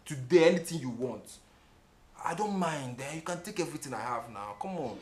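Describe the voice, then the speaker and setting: A Nigerian man playing a brash, smooth-talking, gold-digging boyfriend in a film drama, emphatic and given to repeating himself. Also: on the high side, animated, brisk, crowd noise, close to an everyday voice. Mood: sweet-talking, coaxing. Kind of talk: film drama acting, mixing English and Nigerian Pidgin.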